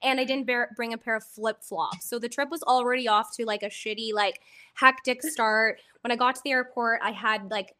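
A woman hosting a casual chat podcast, her voice hoarse and a little phlegmy from a cold, bubbly and quick to laugh. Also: a little high, steady tone, pushed and bright. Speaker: podcast host.

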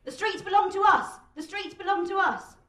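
A woman voicing a jeering, foul-mouthed protester, shouting mockingly from an angry crowd in a story reading. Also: very high-pitched, moderate pace, voice pushed up. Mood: defiant chanting.

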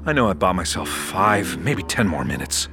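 Dark, scarred captive in audio drama. Very low voice, hushed and deliberate, music underneath. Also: male voice, big pitch swings, vocal fry, heavily acted. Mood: secretive, calculating. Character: dark, troubled scarred male captive, narrating his own ordeal. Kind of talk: audio drama narration and dialogue.

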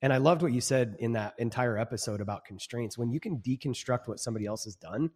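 A man in his forties talks as a podcast guest, earnest and reflective, restarting his sentences with repeated words. His pitch is ordinary for a man. A long reverb trails behind his voice. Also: fast, darkish tone, slightly rough. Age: 40s. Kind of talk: casual interview chat.

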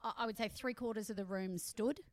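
A woman's voice: medium pitch, a little fast, no echo. Chatty woman, dry close sound. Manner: chatty, enthusiastic, with frequent "um". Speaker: podcast co-host. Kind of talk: casual chat.

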